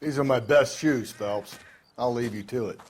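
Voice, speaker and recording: Gruff, world-weary American police detective played by a man. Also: mid-range voice, moderate pace, a little hiss or hum, close to an everyday voice. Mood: sour, cynical. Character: cynical, grumbling middle-aged male 1940s American police detective. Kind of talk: cinematic video game acting.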